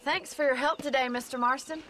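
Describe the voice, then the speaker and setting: A woman's voice: sits high, steady tone, brisk, natural voice. An actress playing a forthright, practical young woman rancher of the Old West in a video game, with an American accent. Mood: friendly, grateful. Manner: forthright, outspoken. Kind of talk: video game cutscene acting. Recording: clear background noise, outdoor ranch ambience.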